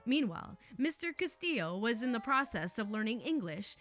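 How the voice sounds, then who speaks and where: Bright, lively female story reader. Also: on the high side, normal speed, soft background music. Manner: playful, chatty asides. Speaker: story narrator. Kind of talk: reading online stories aloud.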